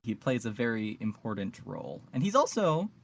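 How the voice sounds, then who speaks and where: A man's voice: mid-range voice, very animated, moderate pace, creaky. A man co-hosting a podcast, casually discussing and summarizing fiction. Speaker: podcast co-host.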